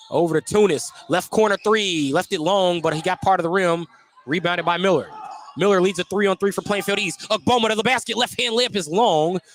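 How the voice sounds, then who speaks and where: Give me a male play-by-play basketball announcer with a higher voice, live in a gym with some background noise. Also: fairly bright tone. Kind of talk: live basketball radio commentary. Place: indoor basketball gym, courtside. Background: gym noise, bouncing basketball.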